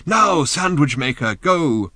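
A man's voice: fairly high pitch, very animated, bright, forceful tone, slightly rough, no room sound, clean studio sound, pitched above the performer's own voice, exaggerated voice. Man acting a bellowing old village elder. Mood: urgent. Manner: urgent, bellowing, drawn-out calls. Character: old village elder, urgent, shouting commands.

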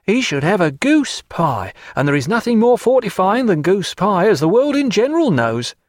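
A man's voice: high-pitched, very animated, normal speed, no room sound, studio quality, voice pushed up. A man voicing a fussing, motherly female landlady who insists on feeding an invalid, in a storybook reading. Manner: doting, insistent.

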